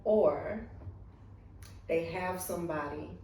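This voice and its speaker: A woman's voice: lowish voice, slow pace, mellow. A woman with a Southern American accent hosting a casual conversation, talking emphatically and often checking for agreement. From United States. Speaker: podcast host. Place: room in Dubai. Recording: echoey room, distant mic, faint noise.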